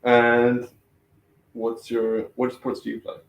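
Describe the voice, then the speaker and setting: A man's voice: medium pitch, monotone, slow. A man interviewing a colleague in an easygoing, casual way, often saying "cool" between questions. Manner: easygoing, says "cool" a lot. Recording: echoey room, off-mic, faint noise.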